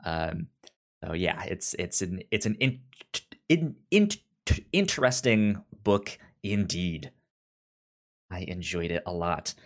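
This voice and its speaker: A man's voice: low voice, expressive, takes it slowly. Stammering man hosting a casual podcast. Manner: stammering, often repeats syllables and words. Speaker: podcast co-host. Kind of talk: casual book review chat.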